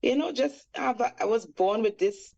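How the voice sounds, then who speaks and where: A woman's voice: on the high side, moderate pace, vocal fry, a little mellow. Woman, Trinidadian accent, 40s, radio interview guest, reflective storytelling, slightly muffled sound. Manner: reflective, storytelling with many "you know" fillers. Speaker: reggae singer, guest.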